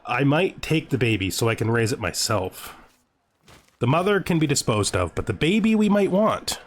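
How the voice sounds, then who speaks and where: A man's voice: mid-range voice, sing-song, normal speed. A man hosting a let's play, thinking aloud as he comments, with playful mock-menacing asides. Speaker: host of a gaming video series. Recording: music behind the voice. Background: music.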